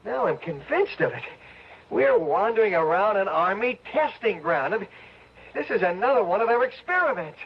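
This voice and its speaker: An actor playing an excitable, bewildered man in an old-time radio drama, halting and repeating himself in astonishment. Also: sits high, breathy edge, exaggerated voice. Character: excitable, bewildered man exploring an eerie trap. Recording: muffled old radio recording.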